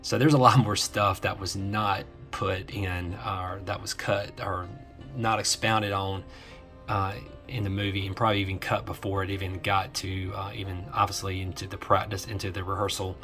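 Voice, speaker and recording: A man hosting an informal explainer commentary, halting as he repeats and restarts his phrases, with music behind him. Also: sits low, level tone, bright, forceful tone. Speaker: host of an eighties film nostalgia series.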